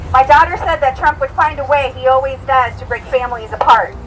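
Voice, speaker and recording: A middle-aged woman from Puerto Rico, an attorney, speaking plainly and earnestly, with pauses and fillers. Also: sits high, a bit bright, low-quality recording. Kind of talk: rally speech and interview. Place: outdoor street rally. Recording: outdoor rally with street noise.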